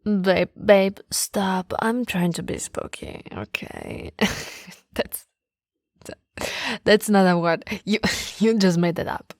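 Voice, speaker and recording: Slow, teasing nerdy girlfriend, acted by a woman. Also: medium pitch, no room sound, studio quality. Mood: amused, playful.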